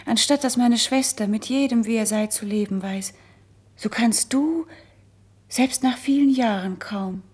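An actress playing a gentle, composed princess, speaking elevated verse with breathy, deliberate wistfulness in a classic German radio play. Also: female voice, a little high. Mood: gentle reproach.

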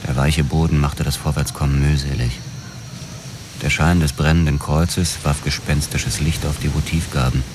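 An actor playing a grim police detective who narrates his own story in measured radio drama style. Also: a bass voice, fairly even intonation, noisy background. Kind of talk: scripted first-person narration in radio drama.

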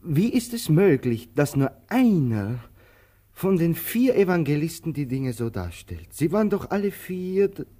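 A brooding talky tramp in a radio play, soft dark voice, fussy and a bit stagey. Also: male voice, on the high side, big pitch swings, measured pace. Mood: musing, insistent. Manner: declamatory, ruminative. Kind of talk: theatrical radio drama acting.

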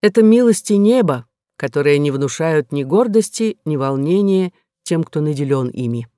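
A woman voicing a sly, flattering male Jesuit abbot in an audiobook novel, measured, ingratiating and unruffled. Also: low-pitched, no room sound, studio quality.

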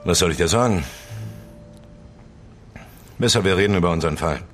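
An actor playing a gloomy, probing investigator in a naturalistic radio crime drama, speaking in a terse, dry, questioning way. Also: male voice, sits low, brisk, slight background noise, lightly acted. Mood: resigned. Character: gloomy, probing male investigator with a troubled private life.

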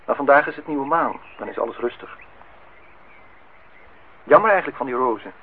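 A courteous, lonely man played by an actor in a radio play. He speaks formally, with a gently insistent edge, at a middling pitch. The sound is thin and narrow, with faint hiss. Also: expressive, moderate pace, close to an everyday voice. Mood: polite, probing. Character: polite, lonely man hosting a young woman at his lakeside house. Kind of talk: Dutch radio play acting. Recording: noisy, tinny old radio recording.

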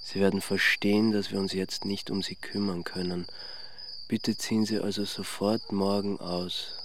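The reading of a formal letter in a radio play. His delivery is stiff and his voice fairly low, over clear background noise of insects. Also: level tone, normal speed, a bit bright.

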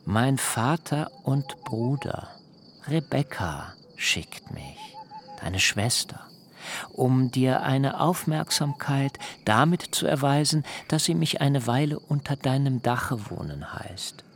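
Slow, creaky-voiced, eloquent wanderer. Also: male voice, medium pitch, expressive, slight background noise. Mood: formal, courteous. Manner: measured, ornate, declamatory. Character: destitute but proud, eloquent fugitive nephew, smooth-tongued. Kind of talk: literary radio drama acting.

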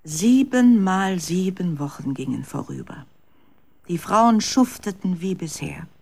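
A woman's voice: fairly low pitch, expressive, a little slow, creaky, darkish tone, slightly rough. A woman narrator reading scripted storytelling for a children's radio play.

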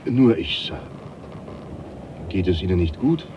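Deep-voiced, plain-spoken ship's servant. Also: male voice, sing-song, measured pace. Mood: concerned. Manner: respectful, wry, plain-spoken. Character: deferential, plain-spoken, reassuring shipboard manservant. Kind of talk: radio drama acting. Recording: muffled, clearly noisy.

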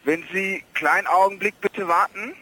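A man's voice: high-pitched, steady tone, normal speed, bright, forceful tone, no echo, poor audio. A man working as an airline phone agent, speaking politely and hesitantly on a service call.

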